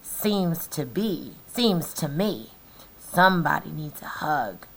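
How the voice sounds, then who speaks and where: A laid-back storybook cowboy, played by a woman, takes his time over every word. He is wry and mocking, with a slow drawl. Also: deep voice, sing-song, a bit bright, slightly rough, exaggerated voice, rough recording.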